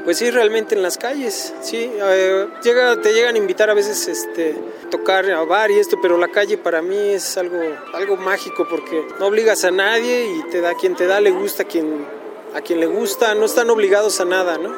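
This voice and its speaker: A man who plays music on the street, answering questions in a relaxed, rambling way. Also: high voice, normal speed. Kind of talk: casual interview answers.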